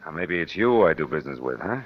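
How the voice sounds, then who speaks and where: Low-voiced hardboiled private eye. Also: male voice, sing-song, fast talker, heavily acted. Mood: wry, cool. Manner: wry, clipped tough-guy slang. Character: hardboiled, wisecracking male private eye, coolly cynical. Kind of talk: old-time radio detective acting with first-person narration. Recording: muffled old radio recording with prominent music.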